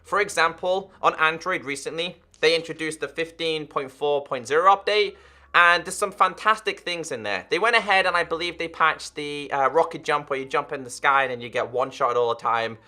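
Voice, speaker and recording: This is a young man in his twenties making a gaming video, speaking straight to his viewers in an earnest, direct way. His voice sits a little high for a man and has a crisp, forceful edge. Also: brisk. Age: 20s. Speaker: gaming YouTuber. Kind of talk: direct-to-camera commentary.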